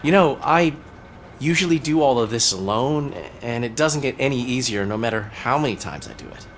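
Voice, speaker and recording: An actor playing a weary, soft-hearted male police officer in a video game, hesitant and apologetic. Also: mid-range voice, animated, a little hiss or hum, natural voice. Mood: sad, grateful. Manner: hesitant, halting.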